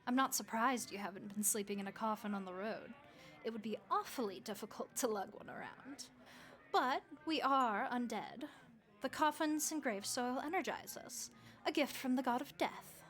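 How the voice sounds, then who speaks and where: A woman voicing a friendly, motherly vampire innkeeper who speaks gently and reassuringly to the listener in an audio roleplay. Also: fairly high pitch, normal speed, lightly acted. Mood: welcoming.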